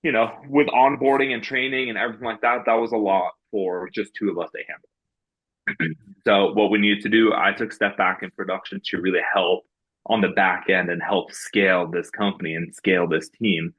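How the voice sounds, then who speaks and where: Deep-voiced raised man, muffled audio. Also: fairly even intonation, normal speed, breathy edge. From Norway. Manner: many fillers and restarts, earnest storytelling. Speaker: guest. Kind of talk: podcast interview.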